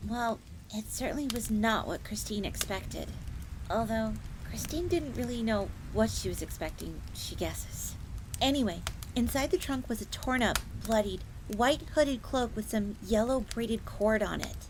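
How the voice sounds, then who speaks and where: Woman voicing a high-pitched, chatty fox maid. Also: animated, a little slow. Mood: chatty, explanatory. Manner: refers to self in third person, informal, explanatory. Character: chatty, deferential female fox maid in fantasy. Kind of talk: fantasy audio roleplay addressed to the listener. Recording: clear background noise, crackling fire ambience.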